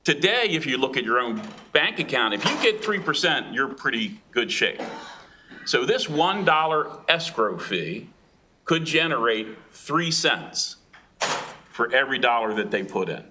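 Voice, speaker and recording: A man's voice: medium pitch, very animated, a little slow, background noise. A man testifying against a bill at a legislative hearing, firm and argumentative. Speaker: industry witness.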